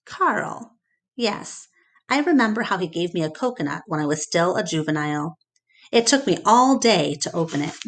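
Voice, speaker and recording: A woman's voice: on the low side, expressive, normal speed. Woman reading as male silverback gorilla, mild, reflective, plain-spoken, storybook hero. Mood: fond recollection.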